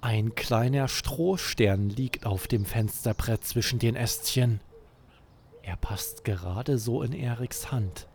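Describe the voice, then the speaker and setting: A man hosting a children's podcast, narrating a scripted bedtime story in an expressive, deliberate way. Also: medium pitch.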